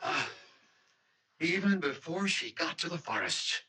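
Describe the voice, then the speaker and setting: A man's voice: a little high, animated, a little slow. An actor playing an earnest, alarmed young man in a radio drama. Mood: urgent, alarmed. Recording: noisy old radio recording, off-mic.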